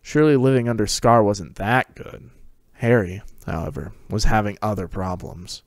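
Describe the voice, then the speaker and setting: A man's voice: fairly low pitch, moderate pace, no room sound, studio quality. A man giving a scripted dramatic reading of a fantasy story as narrator. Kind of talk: dramatic reading of fan fiction.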